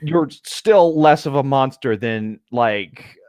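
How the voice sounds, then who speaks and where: A man's voice: mid-range voice, slow pace, a little mellow, smooth, dry recording. A man co-hosting casually, wry and playful, moving between banter and informal story reading. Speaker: co-host reading fan fiction aloud.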